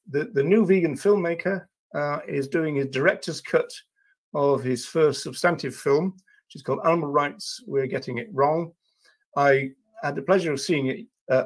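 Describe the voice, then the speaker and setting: An older man hosting a casual livestream, talking to viewers in a hesitant, halting way with drawn-out pauses. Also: medium pitch. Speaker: livestream host. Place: small indoor room at a desk.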